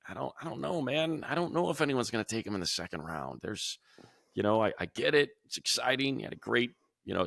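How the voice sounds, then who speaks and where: A man's voice: lowish voice, vocal fry, a bit bright, no room sound, studio quality. A male radio sports announcer co-hosting a casual football podcast, chatty and rattling off long lists of player names. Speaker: radio play-by-play announcer, show co-host.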